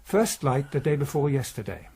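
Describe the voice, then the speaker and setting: A man's voice: medium pitch, very animated, moderate pace, darkish tone, husky, full character voice. A man playing a kindly, anxious, devout head of a priory in a period radio drama, speaking earnestly.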